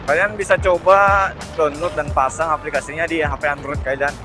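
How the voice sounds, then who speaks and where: A man's voice: on the high side, steady tone, brisk, music in the background. A man giving a casual vlog explanation, hesitant and often correcting himself. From Indonesia. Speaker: motovlogger, host. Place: on a motorbike, outdoors.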